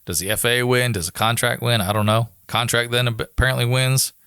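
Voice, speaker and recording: A man's voice: mid-range voice, steady tone, fast, sharp tone, clear, resonant tone, no room sound, clean studio sound. An American man talking casually and informally, explaining how his work as an air traffic controller goes, like a guest on a podcast.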